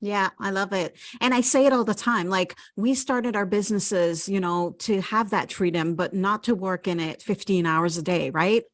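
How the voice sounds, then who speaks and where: A woman's voice: medium pitch, normal speed, few pauses, no echo. Agreeable woman podcast host, dry sound. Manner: warm, agreeable, laughs along. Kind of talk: conversational interview.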